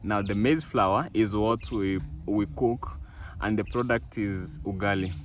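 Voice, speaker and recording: A man's voice: lowish voice, a little slow. Chatty man filming outdoors. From East Africa. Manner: chatty, many fillers and restarts, addresses viewers as guys. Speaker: village vlogger. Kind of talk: casual walk-through vlog. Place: outdoors on a farm field.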